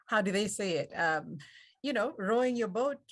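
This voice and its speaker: Woman, Kenyan accent, panel host, encouraging, unhurried, video call. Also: mid-range voice, lively intonation. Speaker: event host. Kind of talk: warm panel moderation.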